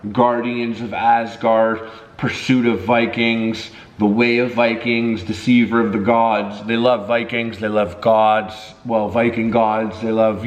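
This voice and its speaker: A man's voice: medium pitch, echoey room. A man chatting casually to his viewers as a vlogger, with a jokey, slangy, profane way of talking.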